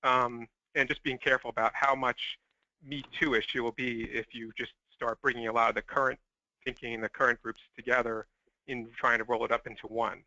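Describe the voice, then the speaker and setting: A man talks very quickly in a mid-pitched, bright, tense voice. He offers his view tentatively, over a dull, dry conference line. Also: level tone, no room sound. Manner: tentative, qualifying. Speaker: call participant, recruiting group organizer. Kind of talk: informal opinion on a conference call.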